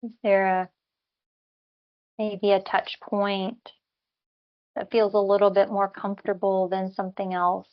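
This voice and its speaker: A woman leading a guided body scan meditation as a teacher, speaking in gentle, unhurried instructions with long pauses. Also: medium pitch, little pitch movement, dull audio.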